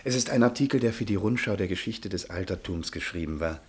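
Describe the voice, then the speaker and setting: Hesitant polite academic guy in a radio drama, fairly low voice, formal and flustered. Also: expressive. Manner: halting, formal, courteous. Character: polite, flustered, hesitant male scholar visiting a newspaper office. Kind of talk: German radio play acting.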